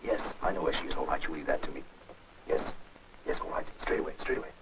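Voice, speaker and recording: An actor playing a brisk, watchful English army officer in a clipped, understated 1940s British film manner. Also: male voice, a bass voice. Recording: noisy old film soundtrack, distant voice.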